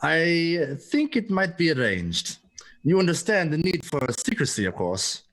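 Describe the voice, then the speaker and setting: A man playing a smooth, secretive seller of illicit androids in a radio play, formal and assuring in manner. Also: on the high side, big pitch swings, a little slow, fairly bright tone, exaggerated voice. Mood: smoothly assuring. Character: smooth, secretive male salesman of illegal duplicate androids.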